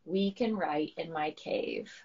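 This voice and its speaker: A woman's voice: low-pitched, slow, gravelly, background noise, voice pushed down, heavily acted. A woman voicing a boastful but kindly dragon poet in a children's storybook, talking emphatically in a slightly dull recording. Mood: apologetic.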